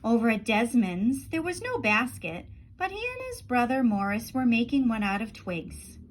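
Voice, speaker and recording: Higher-pitched woman reading a children's story. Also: very animated, moderate pace, a little hiss or hum, rough recording. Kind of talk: expressive read-aloud storytelling.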